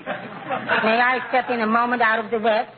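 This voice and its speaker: An actor playing a meek, mournful, henpecked little husband with timid politeness in a radio comedy. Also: male voice, very high voice, level tone, moderate pace, narrow, tinny audio. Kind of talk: 1940s radio comedy acting. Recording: thin, hissy old radio broadcast.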